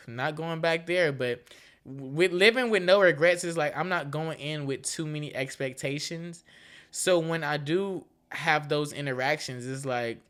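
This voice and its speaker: A woman's voice: low-pitched, a bit bright. Frank, slangy young woman podcasting solo. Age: 20s. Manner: slangy, frank and profane, with frequent "you feel me" asides; loose and rambling, with restarts. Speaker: podcast host. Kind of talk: casual solo podcast chat.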